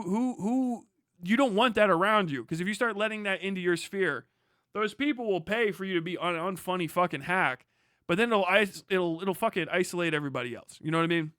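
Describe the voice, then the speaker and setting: A man's voice: high voice, sharp tone, dry recording, clean studio sound. A man hosting a casual livestream, talking in a blunt, profane, mocking way. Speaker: livestream host and podcaster. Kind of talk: casual livestream rant and commentary.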